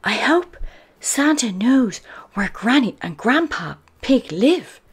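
A woman voicing an earnest, excitable little girl pig with a childlike manner in a storybook. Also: slow, breathy edge, exaggerated voice. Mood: hopeful. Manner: high, childlike, exclamatory.

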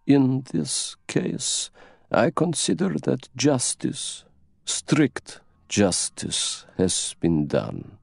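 A man voicing a haughty, cold old Russian princess in an audiobook, with slow, deliberate, contemptuous delivery. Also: mid-range voice, big pitch swings, creaky, darkish tone, slightly rough, no echo, studio quality, pitched above the performer's own voice, full character voice. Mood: haughty, firm. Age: older.

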